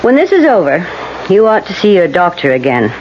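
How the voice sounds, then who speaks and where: An actress playing a wryly teasing, caring office secretary, familiar and gently probing, in a radio drama. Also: female voice, lowish voice, sing-song, brisk. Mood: concerned, teasing. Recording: muffled, noisy old radio broadcast.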